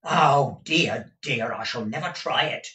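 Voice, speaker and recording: A man voicing an excitable, fussy old master in a storybook reading, with an emphatic, wheedling manner. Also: medium pitch, slow, pitched above the performer's own voice. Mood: nervous, placating. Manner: emphatic, exclamatory. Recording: distant, echoey room recording.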